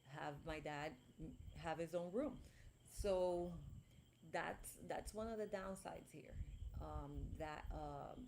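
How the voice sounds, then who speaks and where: A woman's voice: fairly low pitch, darkish tone, a little hiss or hum. A young woman chatting on a livestream, rambling, with many restarts and fillers, into a mic she holds close. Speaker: vlogger. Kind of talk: casual livestream chat. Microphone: clip-on mic, later held closer.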